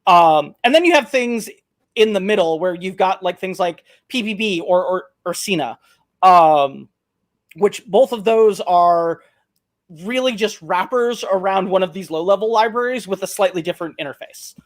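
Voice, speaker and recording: High-voiced man, halting and thoughtful. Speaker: guest, open-source project maintainer. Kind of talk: casual interview answers. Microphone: video call.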